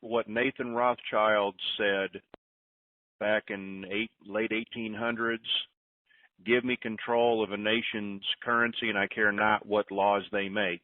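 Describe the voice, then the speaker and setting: Male caller, folksy and halting, lowish pitch, sounding far off on a narrow phone line. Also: little pitch movement, no room sound. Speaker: conference call participant. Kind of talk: casual opinion-giving. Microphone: telephone. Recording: distant, muffled tinny phone line.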